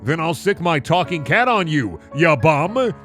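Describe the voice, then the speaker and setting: Man as a sassy woman narrator, theatrical, easily riled, lively pitch, comedy fan fiction reading. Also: measured pace, faint music behind. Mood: snippy.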